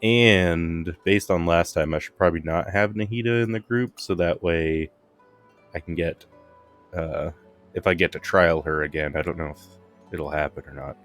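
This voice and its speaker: A man's voice: deep voice, normal speed, a bit bright, soft background music. A man giving casual gameplay commentary as a streamer, muttering and thinking aloud. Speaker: game streamer.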